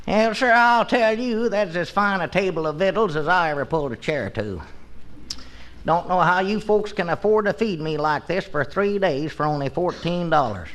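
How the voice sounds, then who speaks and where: High-pitched folksy old hayseed. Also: male voice, lively intonation, normal speed. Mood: cheerful, folksy. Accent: rural American. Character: folksy old bearded male hayseed, cheerful and oblivious. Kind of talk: 1950s radio comedy acting. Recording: noisy old radio recording.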